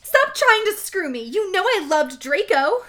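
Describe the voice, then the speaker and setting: An angsty goth teenage girl, acted out by a woman, hurling insults in mock-dramatic fan fiction style. The voice is high and swings widely, overwrought and full of exaggerated outrage. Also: lively intonation, moderate pace, background noise. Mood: shouting, outraged.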